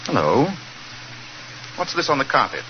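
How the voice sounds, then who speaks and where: An actor playing an incisive, self-assured British gentleman detective in a 1940s radio drama, speaking precisely and insistently. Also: medium pitch, big pitch swings, measured pace. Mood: investigative. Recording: muffled, noisy 1940s radio recording.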